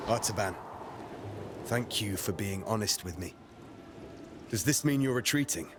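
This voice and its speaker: An actor playing a determined, steadfast young warrior in a game cutscene, earnest and serious. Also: male voice, lowish voice, animated, unhurried, noisy background. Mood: composed. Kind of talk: dramatic video game cutscene acting. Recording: loud music behind.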